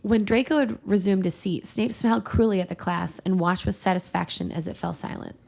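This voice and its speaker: A woman with a noticeably creaky voice hosts a comedy read-aloud. She narrates fiction casually and expressively, with a playful touch. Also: medium pitch, a little fast, darkish tone. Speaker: podcast host reading fiction aloud.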